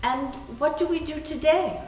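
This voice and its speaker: A woman playing an anxious young woman, highish voice. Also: normal speed, a little mellow, natural voice. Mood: dreamy. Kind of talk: readers theater performance. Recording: echoey room, distant mic, faint noise.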